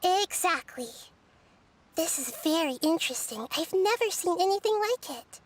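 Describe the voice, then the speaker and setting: A woman voicing a gentle, wise, young-looking girl goddess in a video game, speaking softly and thoughtfully. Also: very high voice, lively intonation, normal speed, a little hiss or hum. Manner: soft, thoughtful and reassuring.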